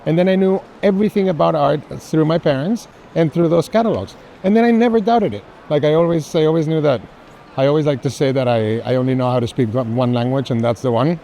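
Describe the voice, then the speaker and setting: A man's voice: a little high, quick pace, warm tone, low-quality recording. A middle-aged man, chatting casually and emphatically on an art fair tour, repeating himself for stress. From Mexico. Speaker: artist and art collector. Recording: faint urban ambient noise.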